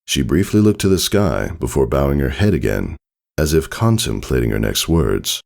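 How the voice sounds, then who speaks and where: A man narrating a scripted story dramatically, in a solemn and measured manner. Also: a bass voice, big pitch swings, creaky. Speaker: fan fiction narrator. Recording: soft music behind the voice.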